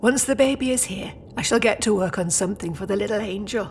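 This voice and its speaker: Actress as stern yet doting British matriarch, formal period manners, audio drama, mid pitch, slight background noise. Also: female voice, fast talker, lightly acted. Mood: fond. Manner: formal, prim, old-fashioned. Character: old-fashioned proud British grandmother-to-be, stern yet doting.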